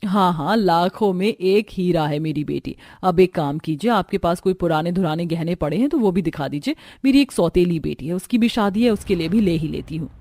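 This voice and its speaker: A woman's voice: on the low side, fairly quick, hardly any pauses, darkish tone, no echo. A woman voicing a boastful, haughty mother in a cartoon story, showy and imperious. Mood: gushing, scornful. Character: boastful, haughty mother, scornful of her stepdaughter.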